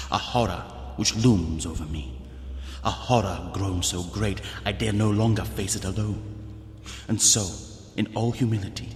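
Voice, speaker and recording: An actor playing a gloomy, ailing gentleman reading a desperate letter in a formal, grave and deliberate way for a gothic radio drama. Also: fairly low pitch, lightly acted. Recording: echoey long reverb, background noise.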